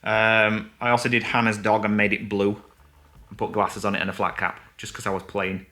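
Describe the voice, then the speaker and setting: A man's voice: on the low side, little pitch movement, normal speed, bright, forceful tone. A man co-hosting a vlog, chatting casually and enthusiastically to camera with plenty of fillers. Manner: rambling, enthusiastic, many fillers. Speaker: vlog co-host.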